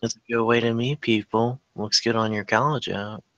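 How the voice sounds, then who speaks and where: A woman's voice: extremely low pitch, fairly even intonation, normal speed, no echo, highs cut off. A woman reading the part of a caring, direct aunt in her forties at a scripted table read. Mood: caring, probing. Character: caring, direct forty-four-year-old aunt, an EMT.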